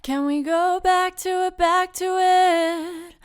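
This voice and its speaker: Female singer-songwriter, very high sung vocal, acoustic guitar clearly underneath, studio mic. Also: fairly even intonation, slow. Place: recording studio. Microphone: studio microphone.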